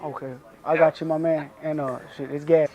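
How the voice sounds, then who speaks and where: A man's voice: fairly high pitch, little pitch movement, brisk, darkish tone, lo-fi sound. A man in casual improvised prank banter, playing a store employee, insistently prodding and repeating himself. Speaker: prankster posing as store employee with walkie-talkie.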